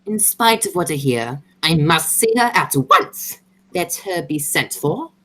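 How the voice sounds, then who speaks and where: A haughty society lady of the radio play, acted by a woman, low for a female voice and swooping widely in pitch. She is stern and formal, and speaks as one used to giving orders. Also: expressive, unhurried, a bit bright, exaggerated voice. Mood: haughty, disdainful.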